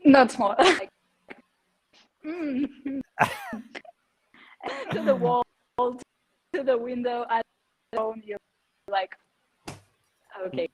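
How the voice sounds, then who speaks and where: A woman shares something casually in a slightly high voice. She speaks extremely slowly and haltingly, searching for each word. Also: expressive, crowd noise, poor audio. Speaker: show participant. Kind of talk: casual personal story.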